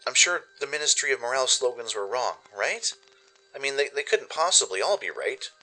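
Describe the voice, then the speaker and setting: A man's voice: medium pitch, sing-song, fairly quick, bright, forceful tone, pitched above the performer's own voice, poor audio. A man voicing an anxious, earnest young pony heroine in an audio drama, talking to herself in nervous self-doubt. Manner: nervous, self-reassuring inner monologue. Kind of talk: first-person audio drama narration.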